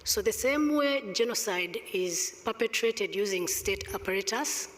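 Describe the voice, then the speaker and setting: A middle-aged woman with a Rwandan accent making emphatic, deliberate panel remarks into a table microphone. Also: mid-range voice, expressive. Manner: emphatic, deliberate argument with pointed rhetorical questions. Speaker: conference panelist. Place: large indoor conference hall, on stage. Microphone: gooseneck table microphone. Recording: reverberant conference hall sound.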